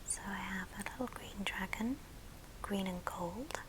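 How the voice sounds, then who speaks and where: A woman hosting an ASMR show and tell in a soft, breathy whisper, speaking gently in short phrases. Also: mid-range voice, unhurried, background noise. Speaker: ASMR video host.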